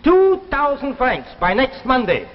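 A man's voice: very high voice, wildly swooping pitch, measured pace, heavily acted. A middle-aged man playing a stern, sneering landlord in a 1950s television drama, speaking in a clipped, formal, imperious manner. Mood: cold, threatening. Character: stern, sneering male landlord demanding his debt. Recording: echoing, hissy, dull old TV soundtrack.

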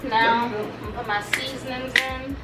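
A woman's voice: fairly high pitch, fairly even intonation, moderate pace. A young adult woman chatting casually as she vlogs at home, recorded on a camera a few feet away. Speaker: vlogger. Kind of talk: casual home cooking vlog chat. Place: small home kitchen, indoors. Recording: echoey kitchen, distant camera mic, music behind.

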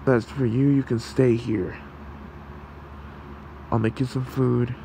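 A man voicing a gentle, protective fox creature in an ASMR roleplay, with a soothing, halting, gravelly delivery. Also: mid-range voice, level tone, a little hiss or hum, rough recording. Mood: caring. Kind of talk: ASMR roleplay, soft comforting talk.

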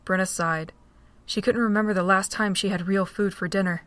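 A woman narrating a fan audio drama with a steady storytelling read. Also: mid-range voice, brisk, dry recording. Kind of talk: scripted narration.

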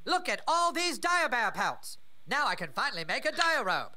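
An actor playing a stuffy, self-satisfied Canadian earl in a comedy game, speaking in a mock-grand manner. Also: male voice, extremely high pitch, very animated, moderate pace, pushed and bright, exaggerated voice. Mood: self-important. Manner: mock-grand, old-fashioned exclamations. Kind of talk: cartoon comedy game acting.

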